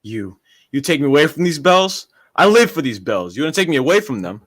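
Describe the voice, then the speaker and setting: A man's voice: a little high, very animated, a little fast, fairly bright tone. A man playing a deranged, power-mad village postman and bell ringer in a radio drama, with a rustic, menacing manner. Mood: defiant, menacing. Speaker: amateur actor in a student radio drama. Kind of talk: 1940s radio drama acting.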